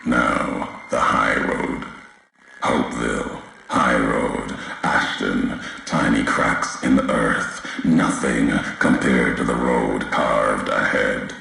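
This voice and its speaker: An actor playing a brooding, vengeful wasteland wanderer obsessed with history in a video game, speaking in cryptic, clipped phrases with weighty pauses. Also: male voice, pushed and bright, exaggerated voice. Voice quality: very deep, gravelly. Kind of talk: slow brooding game dialogue monologue. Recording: heavily echoing voice, faint background noise.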